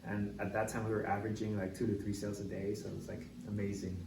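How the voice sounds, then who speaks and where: A young adult man from Mexico City, a real estate agent guest telling his story in a relaxed, casual way, with lots of "like"s. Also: fairly low pitch, fast, music in the background. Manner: relaxed, filler-heavy storytelling with many "like"s. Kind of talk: casual interview chat. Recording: echoey, distant mic with clear background noise.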